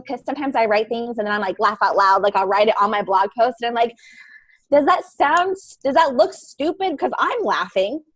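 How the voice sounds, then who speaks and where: A woman podcaster and blogger chatting casually on a live panel, laughing often at herself and using lots of fillers. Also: on the high side. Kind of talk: casual panel chat on a livestream.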